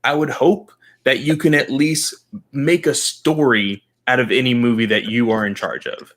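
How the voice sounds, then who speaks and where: Male podcast co-host, mid-pitched, forceful opinions, hammers points home. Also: steady tone, normal speed. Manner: emphatic, repeats points for stress. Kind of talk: casual chat.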